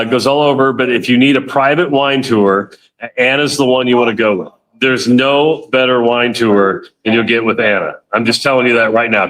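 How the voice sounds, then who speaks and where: A man's voice: medium pitch, a bit bright, poor audio. A man who is drunk, calling into his radio show and rambling and joking loosely. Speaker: co-host calling in. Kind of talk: casual chat.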